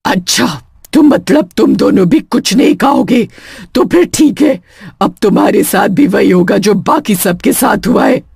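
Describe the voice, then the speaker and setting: A woman's voice: medium pitch, expressive, unhurried, creaky, a little mellow, breathy edge, no room sound, clean studio sound, full character voice. A woman voicing a lonely female witch who runs a small food stall, coaxing yet menacing, in a cartoon horror story.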